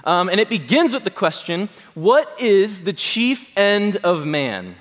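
A man, a pastor, giving a chatty Sunday sermon with a slightly hoarse voice and many fillers. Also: sits high, big pitch swings, unhurried. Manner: chatty, self-deprecating, full of fillers. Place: church hall. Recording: long hall reverb.

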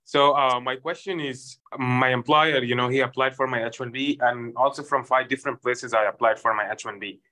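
A man's voice: mid-range voice, steady tone, brisk, hardly any pauses, sharp tone. A man calling in with a question, talking informally and conversationally. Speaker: caller asking a question.

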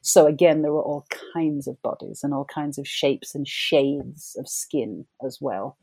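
Low gravelly-voiced woman novelist. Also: a little slow, mellow, no room sound. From Jamaica. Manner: thoughtful, reflective, laughs as she talks. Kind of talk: conversational interview.

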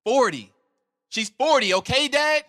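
An actor playing an eager, cocky 22-year-old son who talks in an upbeat, defiant way. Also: male voice, very high voice, animated, unhurried, pushed and bright, full character voice. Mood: coaxing. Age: 20s.

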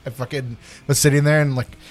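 A young man co-hosting a casual talk show, bantering in a slangy, sweary, halting way. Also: mid-range voice, fairly even intonation. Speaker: podcast co-host.

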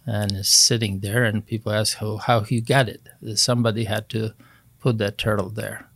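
A man of about seventy from Poland, with a Polish accent, speaking as an interview guest in a measured way and searching for his words. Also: on the low side, level tone, creaky, fairly bright tone, dry recording. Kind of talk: interview, recounting family history.

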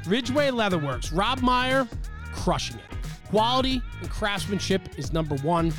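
A man with a slightly high voice reads sponsor spots in a bouncy, sing-song way. A clear music bed plays under his voice throughout. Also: big pitch swings, normal speed. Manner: upbeat, sing-song promotional delivery. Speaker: podcast host.

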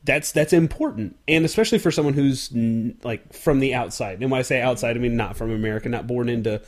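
A man's voice: mid-range voice, quick pace. Young man hosting a casual podcast. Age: young adult. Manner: loose and joking, then reflective with fillers. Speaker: podcast host.

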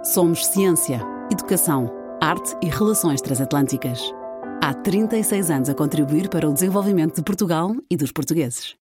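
A woman narrates a polished sponsor spot in a brisk, formal read. Her voice sits fairly low, and loud music plays under every line. It sounds like a studio-made promotional voice-over. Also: expressive.

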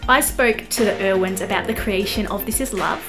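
A woman's voice: mid-range voice, fairly quick, over music. An Australian woman working as a host, speaking with effusive enthusiasm as she introduces and interviews guests. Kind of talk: scripted introduction and friendly interview chat.

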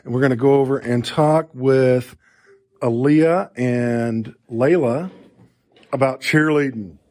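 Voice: mid-range voice, takes it slowly, darkish tone. A chatty, encouraging podcast host doing a casual interview.

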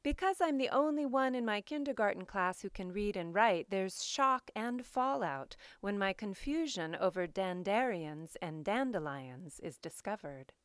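A woman reads in a literary, scripted style, with slow and deliberate phrasing. Her voice is clear and smooth, sitting at a medium pitch. The sound is dry, with very little room around it. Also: expressive, no room sound. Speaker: poetry show host and reader. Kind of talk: literary poetry reading, scripted introduction.

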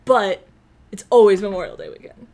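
A woman's voice: mid-range voice, brisk, a little mellow. A young woman co-hosting a podcast, chatting casually and teasing her friend, laughing often. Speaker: podcast co-host. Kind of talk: casual chat. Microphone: podcast microphone with spiking levels.

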